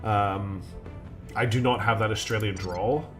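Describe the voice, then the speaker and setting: A man's voice: on the low side, steady tone, measured pace, faint music behind. A man streaming a strategy game live. He thinks aloud in a chatty way, with many ums and restarts, and his nose is slightly blocked.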